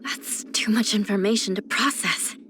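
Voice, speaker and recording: A woman playing a weary, grave young judge of the underworld in a video game, speaking softly in measured, formal phrases. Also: medium pitch, steady tone, background noise, lightly acted. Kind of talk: scripted video game character voice lines. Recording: music under the voice. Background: background music.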